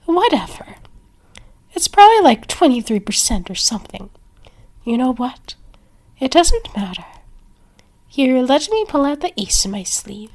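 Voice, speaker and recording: Casting: a woman as a yandere vampire for ASMR roleplay, higher voice, very unhurried, haughty, slipping into whispers. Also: very animated, a little mellow, exaggerated voice. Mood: flustered, dismissive.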